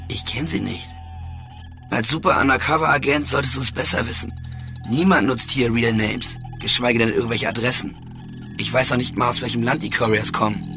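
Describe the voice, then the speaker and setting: A man's voice: medium pitch, steady tone, fairly quick, vocal fry, pushed and bright. An actor playing a defiant male hacker bargaining with an agent in a radio drama. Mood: irritated. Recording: music under the voice.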